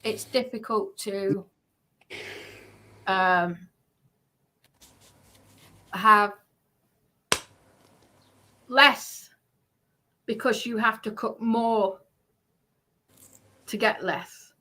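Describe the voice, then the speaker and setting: A woman's voice: medium pitch, fairly even intonation, extremely slow, long pauses, sharp tone. A woman chatting and ranting casually on a livestream, blunt and sweary, repeating her points with emphasis. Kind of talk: casual livestream chat and rant.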